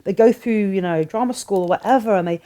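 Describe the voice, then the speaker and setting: A woman's voice: mid-range voice, quick pace, mellow. A middle-aged woman, a cabaret performer, talking frankly and animatedly as a guest in a small studio interview. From Britain. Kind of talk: candid interview chat. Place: small studio set, seated on sofa.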